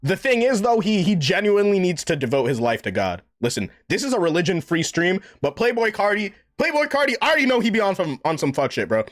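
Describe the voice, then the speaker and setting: A man's voice: high-pitched, big pitch swings, quick pace, hardly any pauses. A man of twenty-eight, chatting casually and a bit tipsy on a gaming live stream, rambling in slang and swearing often. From New York. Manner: rambling, slangy, profane, says "bro" constantly.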